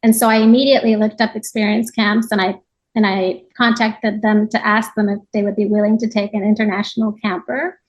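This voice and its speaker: A woman's voice: medium pitch, flat delivery, fairly quick, rough recording. A woman from Japan speaking as an interview guest, giving a reflective, conversational personal account with frequent small asides. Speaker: podcast guest, yoga teacher.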